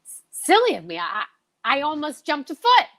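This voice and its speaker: A woman's voice: high voice, wildly swooping pitch, moderate pace, fairly bright tone, exaggerated voice. A woman playing a polite, grateful, easily flustered lady friend in an old-time radio show, gracious and slightly fluttery.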